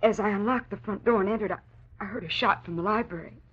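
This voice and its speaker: An actress playing a nervous, defensive young society woman who speaks haltingly and dramatically in an old radio drama. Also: mid-range voice, breathy edge, lightly acted. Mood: shaken. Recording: dull, lo-fi old radio recording.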